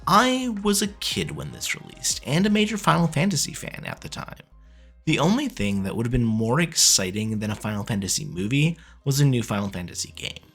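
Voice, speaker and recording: A man delivers scripted review narration with a lively, swinging intonation. His mid-pitched voice has a husky edge and an opinionated, casual manner, with music playing underneath. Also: a little fast. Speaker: video reviewer. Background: background music.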